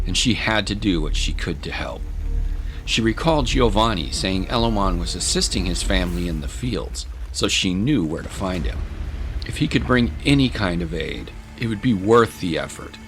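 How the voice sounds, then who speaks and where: A man's voice: low voice, a bit bright. A man narrating a scripted audio drama in a dramatic, literary manner.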